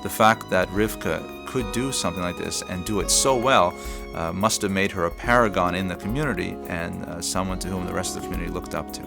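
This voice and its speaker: A man giving expert historical commentary in a measured, explanatory way, pausing with fillers. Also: sits low, creaky, over music.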